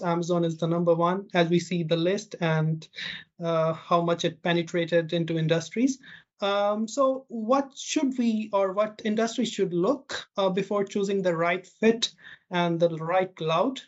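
A podcast guest speaking slowly and hesitantly with many fillers. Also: male voice, high voice, fairly even intonation, highs cut off. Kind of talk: conversational podcast talk.

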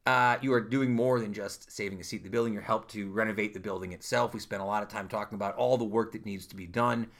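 A man's voice: fairly low pitch, fairly even intonation, fast, hardly any pauses. A man hosting a podcast in casual chat, talking loosely with lots of fillers and laughs, his voice worn from talking all day. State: losing his voice. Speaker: podcast host.

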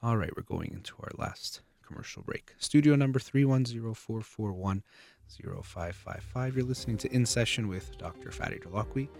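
Deep-voiced earnest male radio host. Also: fairly quick, vocal fry, darkish tone. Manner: earnest, encouraging, repeats phrases for emphasis. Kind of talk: motivational radio talk. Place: radio studio.

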